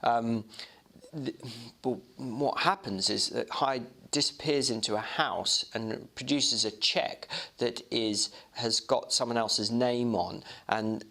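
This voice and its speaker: A man's voice: medium pitch, takes it slowly, vocal fry, bright, forceful tone. A man narrating a classic novel and explaining it informally, like a literature guide. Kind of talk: storybook narration and informal commentary.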